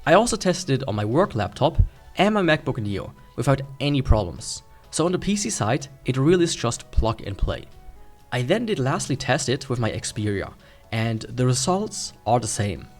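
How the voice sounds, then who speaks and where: Man narrating a review, very lively intonation. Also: mid-range voice, moderate pace, soft background music. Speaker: tech reviewer and host.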